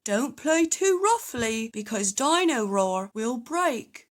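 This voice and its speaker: A woman voicing a kindly, cautious father pig in a children's storybook, giving gentle, measured advice. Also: fairly high pitch, very animated. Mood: gently cautioning.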